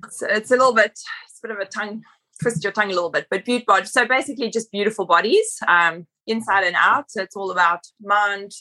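A woman's voice: medium pitch, fast, pushed and bright, poor audio. A woman from South Africa, with an accent that mixes South African and Australian, chatting easily as a podcast guest and laughing at herself. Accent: mixed South African and Australian. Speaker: podcast guest, personal trainer. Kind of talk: casual interview chat.

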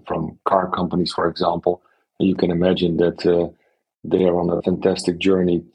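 A man with a husky voice, explaining examples conversationally as an investor being interviewed. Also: sits low, darkish tone, rough recording. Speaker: interview guest, venture investor.

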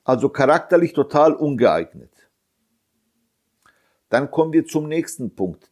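A man's voice: mid-range voice, a little mellow. A man in his fifties from Germany, giving an impassioned interview as a bereaved father, indignant and emphatic, repeating his points and firing off rhetorical questions.

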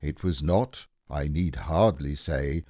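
Man as a gloomy, ponderous, deep-voiced donkey. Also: slow, dark tone, no echo, clean studio sound, heavily acted. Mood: pompous. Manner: pompous, ponderous, stern. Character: gloomy, self-important old grey donkey.

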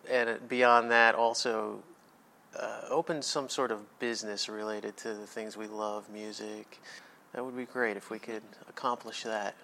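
Easygoing guest from New Jersey, gravelly voice, casual interview. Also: male voice, on the low side, flat delivery, pushed and bright, a little hiss or hum. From New Jersey, USA. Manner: easygoing, measured, some hesitations.

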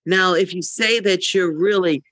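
A woman's voice: fairly low pitch, a little slow, fairly bright tone, no room sound. A woman podcast co-host chatting casually in a joking, teasing manner. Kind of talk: casual chat about online dating.

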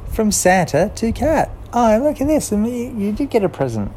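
A man voicing a cheerful little mouse in a bedtime story, bright and eager. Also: high voice, very animated, normal speed, a little hiss or hum, voice pushed up. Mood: excited.

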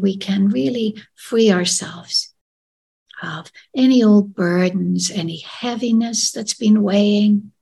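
A woman leading a gentle guided meditation in a soft, soothing, breathy voice that often trails into a whisper. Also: medium pitch. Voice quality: breathy, husky.

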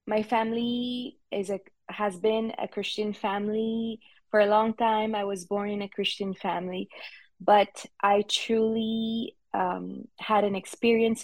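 A woman's voice: mid-range voice, little pitch movement, measured pace, no room sound. A woman from Switzerland whose first language is French, speaking English with a French accent as a guest pastor on a podcast. She laughs often and hesitates with many ums. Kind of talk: casual interview chat.

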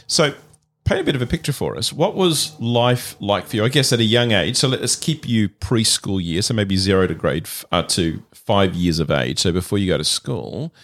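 A man's voice: fairly low pitch, lively intonation, moderate pace, a bit bright. A man hosting a church podcast interview, often restarting and trailing off his questions. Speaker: podcast host.

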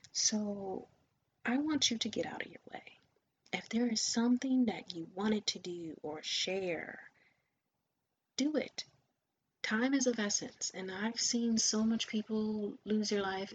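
Woman, podcast host, motivational monologue, encouraging, whispery asides, muffled recording, faint background noise. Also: fairly low pitch. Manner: earnest, encouraging, often drops to a whisper. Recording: dull lo-fi sound with faint ambient noise.

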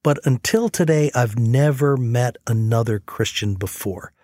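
A man's voice: medium pitch, unhurried, darkish tone, no echo, studio quality. A man telling stories conversationally and earnestly as host of a faith radio program. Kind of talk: conversational storytelling on a faith talk show.

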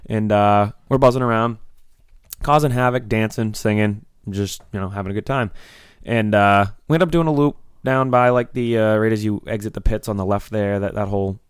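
A young man with a slightly raspy voice co-hosts a casual podcast, telling a long story in a rambling, animated way full of fillers. His voice sits a little low and comes through smooth and resonant, recorded close and dry. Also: normal speed, dry recording. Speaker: podcast co-host.

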